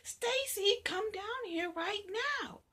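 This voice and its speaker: A woman voicing an impatient mother who shouts up the stairs and scolds her daughter. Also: sits high, sing-song, a little slow, husky, pitched above the performer's own voice, exaggerated voice. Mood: scolding. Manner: loud, sharp and scolding.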